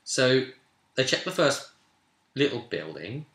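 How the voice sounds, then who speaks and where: A man's voice: mid-range voice, very animated, takes it slowly, fairly bright tone. A man casually recapping a tabletop role-play session as game master, telling it like a story and breaking off into asides. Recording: roomy, echoey room sound.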